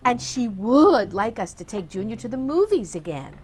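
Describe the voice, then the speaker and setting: A woman's voice: on the high side, sing-song, normal speed, a little mellow, husky. A woman playing a dotty, kindly elderly aunt in a theatrical radio comedy, genteel, doting and placidly matter-of-fact. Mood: chatty. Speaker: actor.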